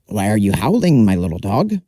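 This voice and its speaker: A man's voice: fairly low pitch, sing-song, fairly quick, warm tone, dry recording, clean studio sound. A man voicing a talking cart in a folk tale, in a sing-song chant. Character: rickety old cart, a talking thing.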